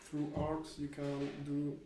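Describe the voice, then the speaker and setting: A man's voice: fairly high pitch, monotone, takes it slowly, dark tone, a little hiss or hum. A young man from France, a student volunteer giving casual, rambling, tentative answers in an interview. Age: young adult. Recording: roomy echo.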